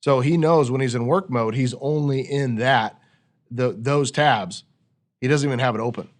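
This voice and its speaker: Guy hosting a podcast, middle pitch voice, explains stuff like a coach, asks questions as he goes. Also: normal speed. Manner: instructive, with rhetorical questions. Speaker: podcast host leading a discussion. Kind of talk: conversational coaching talk.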